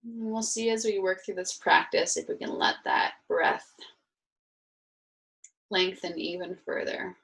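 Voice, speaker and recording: A woman leading a guided meditation as an instructor, speaking soothingly and informally in gentle, unhurried instructions. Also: on the low side, gravelly, rough recording.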